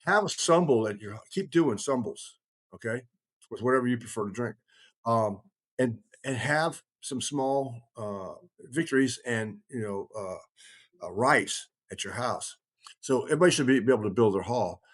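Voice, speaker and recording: Middle-aged male podcast host, average pitch. Blunt, folksy, sincere, loosely rambling conversation. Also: expressive, normal speed. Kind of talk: casual rambling group discussion.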